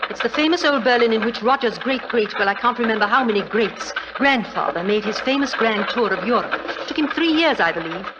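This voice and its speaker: A woman plays a brisk, outspoken noblewoman in a vintage radio play. Her voice sits fairly high and she tells things with wry, gossipy relish. The old recording is dull, with clear noise behind her. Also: animated, lightly acted. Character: brisk, outspoken aristocratic English widow. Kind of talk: 1940s radio drama acting. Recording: noisy, muffled 1940s radio recording.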